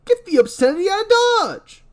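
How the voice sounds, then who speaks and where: Give me a loud, crude, bossy man, as a woman puts him on, yelling at high pitch. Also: big pitch swings, a little fast. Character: bossy shouting adult man boss.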